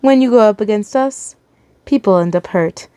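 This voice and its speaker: A woman's voice: medium pitch, normal speed, warm tone, smooth, dry recording, lightly acted. A woman voicing a smug male dream demon, murmuring seductive taunts.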